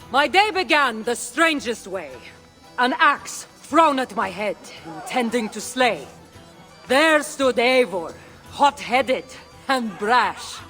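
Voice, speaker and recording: An actress playing a confident, wry Greek warrior woman in a video game cutscene, speaking in a measured, playful way. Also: fairly high pitch, big pitch swings, bright, forceful tone, faint music behind, full character voice. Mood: theatrical.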